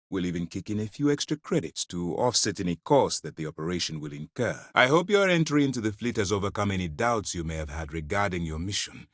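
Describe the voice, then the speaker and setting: An actor playing a stern, principled space navy commander in a video game, speaking in a formal, deliberate, authoritative way. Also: male voice, fairly low pitch, dry recording, studio quality. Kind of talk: scripted dramatic game dialogue.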